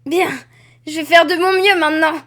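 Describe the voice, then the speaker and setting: A woman playing an expressive, excitable young girl who poses as a male student, in an anime fan dub. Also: extremely high pitch, normal speed. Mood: resolute, musing. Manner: expressive, gushing and exclaiming.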